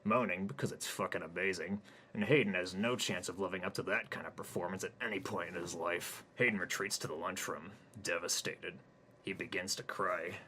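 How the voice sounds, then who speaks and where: Deep-voiced man reading deadpan comic narration. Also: fairly quick, a little hiss or hum. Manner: deadpan, crude. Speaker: author reading his own story. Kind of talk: comic stream-of-consciousness narration.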